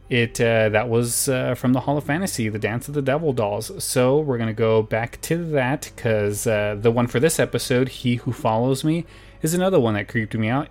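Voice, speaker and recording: Faint music plays under a male podcast host. His voice is medium in pitch. He chats informally and hesitantly, drifting through introductions and anecdotes. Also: a little mellow. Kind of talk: casual introduction with rambling anecdotes.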